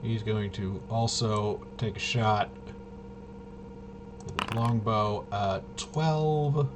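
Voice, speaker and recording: A man's voice: lowish voice, fairly even intonation, extremely slow, noisy background. A man casually walking through tabletop gameplay, thinking aloud and hesitantly muttering to himself as he works things out. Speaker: solo tabletop RPG player and presenter.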